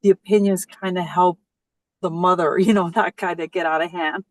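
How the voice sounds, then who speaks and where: A woman's voice: lowish voice, steady tone, moderate pace, no room sound. A woman hosting an interview, raised in a Mexican household, chatting hesitantly with many fillers over a video call. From Mexican-American household. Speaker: host. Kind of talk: casual interview.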